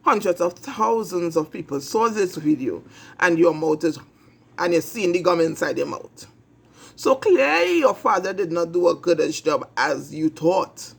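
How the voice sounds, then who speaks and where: A man's voice: fairly high pitch, lively intonation, a little slow, gravelly, slightly rough. A man with a Trinidadian accent hosting his own talk show, giving a blunt, emphatic opinion monologue. He repeats his points for emphasis and swears freely. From Trinidad and Tobago. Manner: emphatic, repetitive, blunt and profane. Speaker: host of an online talk show.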